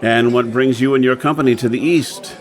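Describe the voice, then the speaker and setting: An actor playing a sardonic gentleman adventurer with a measured, deadpan manner in a radio drama. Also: mid-range voice, lightly acted. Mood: politely skeptical. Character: dry, sardonic gentleman adventurer, the professor's partner.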